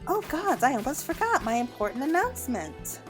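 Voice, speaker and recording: A woman voicing a perky, excitable young woman in a video game, in a bubbly, exclamatory manner. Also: high voice, fairly quick, over music, voice pushed up. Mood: bubbly, excited.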